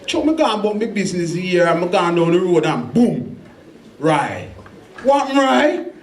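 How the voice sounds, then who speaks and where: A poet from England with a Birmingham Jamaican patois, bantering with the crowd before a spoken word set. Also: male voice, high voice, very animated, measured pace, reverberant, a little hiss or hum.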